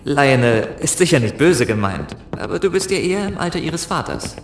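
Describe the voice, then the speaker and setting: A man's voice: on the high side, very animated, normal speed, slight background noise. A man playing a cocky, flirtatious younger spaceship crewman in a science-fiction audio drama, boastful and teasing. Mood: cocky, scheming. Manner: boastful, teasing, smooth-talking. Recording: echoey room, music behind.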